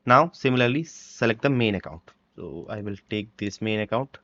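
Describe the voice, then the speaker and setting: A man presenting a step-by-step software tutorial in halting short phrases, with dull, lo-fi microphone sound. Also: fairly low pitch, lively intonation, dry recording. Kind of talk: step-by-step screen-recording walkthrough.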